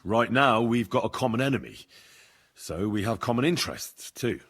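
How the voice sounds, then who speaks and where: A man playing a tactful young man-at-arms in a video game, with a husky voice and a plain-spoken, diplomatic manner. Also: mid-range voice, lively intonation, moderate pace, fairly bright tone. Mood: tactful, reasoning. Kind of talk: video game cutscene acting.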